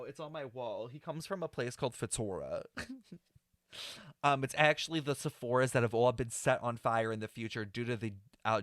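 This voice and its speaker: A man giving casual, rambling reaction commentary in a chatty, slangy, excitable way. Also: medium pitch, no echo, clean studio sound. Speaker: reaction streamer.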